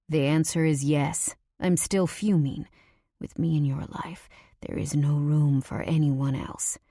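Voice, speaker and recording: Brief: woman reader voicing a dangerous possessive man in an audiobook; low, quiet, close whisper, dry sound. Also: no echo. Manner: low hushed half-whisper, threatening. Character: menacing possessive grown man, controlling.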